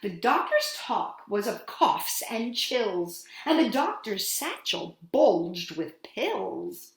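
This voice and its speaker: A woman hosting a children's storytime, reading a picture book aloud in an expressive, sing-song way with dramatic pauses. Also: mid-range voice, creaky. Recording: roomy echo.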